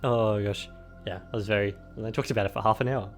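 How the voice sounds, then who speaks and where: A man's voice: lowish voice, fairly even intonation, fast, soft background music. Giggly young man losing his voice. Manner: giggly, rambling, many fillers and restarts. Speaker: podcast co-host. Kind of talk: casual chat.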